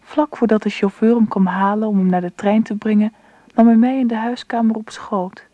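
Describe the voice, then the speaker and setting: A reflective woman narrator played by an actress tells a radio play story in a warm, mellow voice. Her delivery is measured. The sound is dry and somewhat dull. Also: medium pitch, steady tone, no echo, close to an everyday voice. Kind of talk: scripted radio drama narration. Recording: dull, muffled old radio recording.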